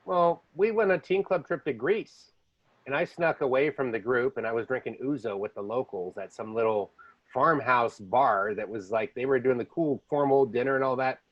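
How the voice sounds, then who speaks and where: A man's voice: medium pitch, no room sound, dull audio. A man hosting a podcast, telling rambling stories in a casual chat. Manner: rambling storyteller, jumps between languages. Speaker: podcast host. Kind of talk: casual conversation.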